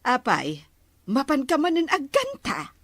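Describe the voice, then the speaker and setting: A woman's voice: high-pitched, measured pace, dry recording, studio quality, exaggerated voice. A woman playing a chatty, teasing village neighbour in an Ilocano comedy drama, full of exclamations, with an Ilocano accent. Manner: animated, given to exclamations.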